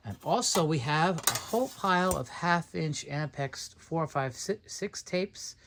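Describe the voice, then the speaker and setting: A man presenting stock in an unscripted unboxing walkthrough, listing items in a halting way as a seller. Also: fairly high pitch, expressive, background noise. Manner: halting, itemizing.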